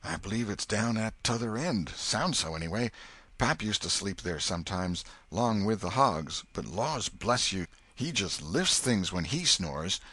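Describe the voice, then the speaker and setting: A man voicing a scared, superstitious young village boy who speaks in a rustic backwoods dialect, hushed and fearful. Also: on the low side, animated, a little slow, slightly rough, no echo, voice pushed up, full character voice. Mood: frightened.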